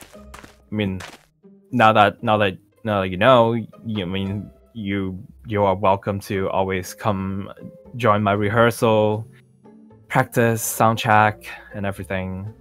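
A man playing a self-assured young male pop singer in an improvised Minecraft roleplay, talking in a halting, rambling, nonchalant way. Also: on the low side, little pitch movement, fairly bright tone. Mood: casual, encouraging. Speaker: roleplay performer. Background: music.

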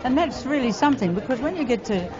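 A woman in her eighties, a jazz singer with a deep voice, talking in a spirited, wry way. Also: lively intonation, fast talker. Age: 80s. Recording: lo-fi with music and crowd behind.

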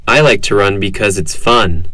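Bright-voiced man reading lesson lines. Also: on the low side, normal speed, dry recording. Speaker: dialogue reader. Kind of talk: scripted language-lesson dialogue reading.

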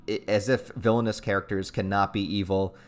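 A man with a fairly low voice hosts a relaxed chat. He asks and comments in informal, slangy language, as an interviewer talking casually with a guest. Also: brisk, soft background music. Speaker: host, interviewer.

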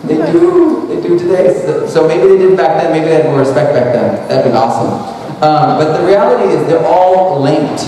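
A man in his thirties talks loosely and rambles, restarting sentences and dropping "like" everywhere, his voice sitting a little high. He is caught from far off in a big hall, with a long reverb trailing each phrase and faint background noise. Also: warm tone. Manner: rambling, casual, full of "like" and restarts. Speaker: speaker. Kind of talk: conference talk. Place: large hall at an expo. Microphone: handheld mic. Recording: echoey hall recorded from far off.

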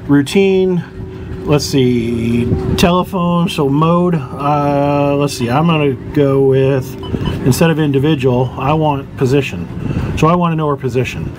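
A man's voice: on the high side, measured pace, over music, poor audio. A man hosting a boating channel, casually explaining equipment in a rambling way with lots of fillers and restarts, recorded on a boat. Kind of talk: hands-on equipment tutorial.

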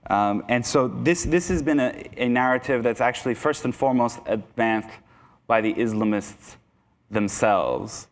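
A man's voice: medium pitch, unhurried. A young man from Iran giving a conversational lecture to teachers in a conference hall, speaking into a lapel mic with many fillers and restarts. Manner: conversational, self-deprecating, frequent restarts and fillers. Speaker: guest speaker. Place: conference hall, indoors, large.

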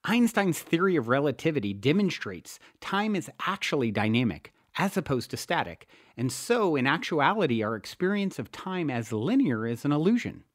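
A man reading a self-help book aloud as scripted narration, with emphatic, deliberate phrasing and drawn-out key words. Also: on the high side, very animated, no room sound, clean studio sound. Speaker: podcast host and author reading own book. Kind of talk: scripted audiobook-style narration.

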